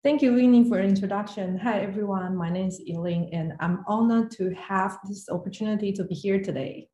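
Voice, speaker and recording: A woman's voice: mid-range voice, fairly quick, warm tone. Echoey woman presenting. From Taiwan. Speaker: finance executive, guest speaker. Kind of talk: prepared online presentation. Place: indoor room on video call. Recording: roomy, echoey online call audio.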